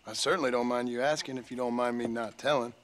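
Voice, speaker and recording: A man's voice: mid-range voice, steady tone, quick pace, fairly bright tone, lightly acted. A man voicing a terse, wounded gunslinger, dry and polite, guarded in his words. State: recovering from a gunshot. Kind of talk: video game cutscene dialogue.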